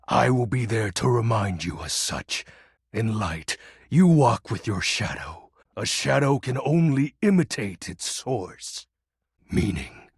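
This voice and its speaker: A man playing a melodramatic, brooding lord of darkness in a video game, with a raspy, gravelly voice and grandiose delivery. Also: fairly low pitch, no room sound, studio quality, heavily acted. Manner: grandiose, archaic phrasing with sudden casual asides. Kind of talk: theatrical video game voice acting.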